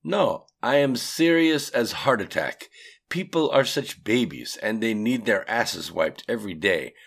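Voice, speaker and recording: A man's voice: on the low side, lively intonation, a little slow, vocal fry, a bit bright, voice pushed up, heavily acted. A man voicing a blunt, frustrated character with a thick Russian accent and broken English. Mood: emphatic.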